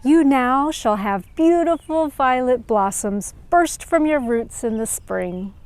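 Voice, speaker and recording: Storybook rainbow spirit, played by a woman: nurturing big sister, lightly high voice, gentle and reassuring. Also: lively intonation, takes it slowly, no room sound. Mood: kindly.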